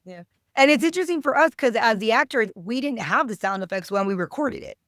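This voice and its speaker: A woman in her thirties with a fairly high voice, chatting informally as an interview guest. She talks in short, chatty bursts and slips into quoted remarks. The sound is very dry. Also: lively intonation, a little fast, a bit bright, no room sound. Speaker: actor guest. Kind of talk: casual interview chat.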